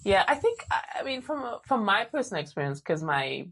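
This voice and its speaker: A woman's voice: medium pitch, sing-song, fairly quick, a bit bright. A woman in her thirties from Barbados and Trinidad, hosting a podcast in a chatty, playful way. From Caribbean, Barbados and Trinidad. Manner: chatty, playful, laughs along. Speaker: podcast host. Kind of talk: casual conversational interview.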